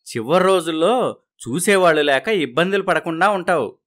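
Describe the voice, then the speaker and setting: A man's voice: a little high, expressive, normal speed, a bit bright. A man playing a cheeky, pushy villager who borrows money, in a moral story. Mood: taunting.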